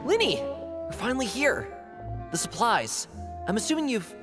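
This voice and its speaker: Earnest kid game character, middle pitch, super animated, faint music. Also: female voice, normal speed. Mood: excited. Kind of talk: video game voice acting.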